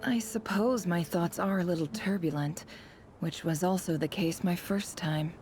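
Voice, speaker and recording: An actress playing a sly, commanding woman boss in a video game, with a deliberate, sardonic delivery. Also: lowish voice, music in the background, close to an everyday voice. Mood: cool, measured. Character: sly, commanding woman boss, coolly teasing and self-assured.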